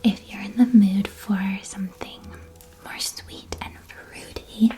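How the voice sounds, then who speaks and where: A woman playing a friendly, whimsical shopkeeper of magical sweets in a gentle, breathy ASMR whisper. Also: medium pitch, steady tone, close to an everyday voice. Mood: gentle, inviting. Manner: gentle, unhurried, reassuring sales patter. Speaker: ASMR roleplay performer.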